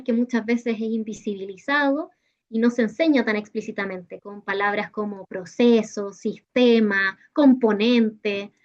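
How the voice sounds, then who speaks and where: A woman with a Chilean accent explaining material didactically as a workshop presenter, often checking in with short tag questions, over a video call. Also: on the high side. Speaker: teacher-training workshop presenter. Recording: dull, lo-fi video call audio.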